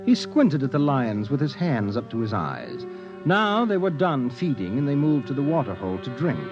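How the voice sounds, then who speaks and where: A man narrating a 1950s radio drama in a measured storytelling manner, heard through a dull old radio transcription. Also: medium pitch, wildly swooping pitch, over music.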